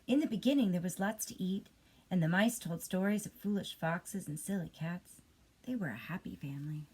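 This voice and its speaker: A woman reading a children's bedtime story as an expressive storyteller. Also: lowish voice, normal speed, husky.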